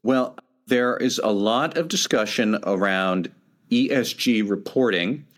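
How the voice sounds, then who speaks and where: A man co-hosting a podcast, explaining a topic in a steady, slightly wry conversational way. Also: on the low side, unhurried. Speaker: podcast co-host. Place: at a conference.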